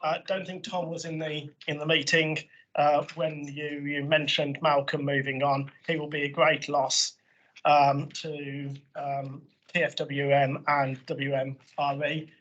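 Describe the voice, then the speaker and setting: A man with a croaky voice, a councillor presenting a report at a committee meeting in a chatty manner with informal asides. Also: fairly high pitch, level tone, a little slow, fairly bright tone. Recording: muffled lo-fi meeting audio.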